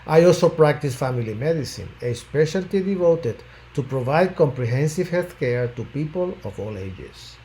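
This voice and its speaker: Measured, mellow-voiced physician from Puerto Rico. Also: male voice, on the high side, lively intonation, poor audio. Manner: measured, formal delivery that trails off at phrase ends. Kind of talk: prepared self-introduction. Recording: faint background noise.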